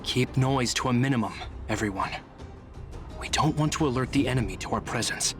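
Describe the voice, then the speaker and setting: Game captain for a male actor: disciplined, courteous, earnest, medium pitch, music underneath. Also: a little fast, close to an everyday voice. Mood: serious, briefing. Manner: serious, courteous, orderly. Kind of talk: scripted video game dialogue.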